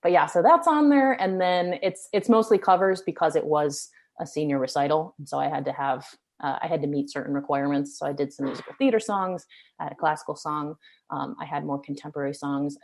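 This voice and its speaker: A woman's voice: sits low, steady tone, fairly quick. A young woman, a singer-songwriter, chatting casually in an interview, rambling with many fillers.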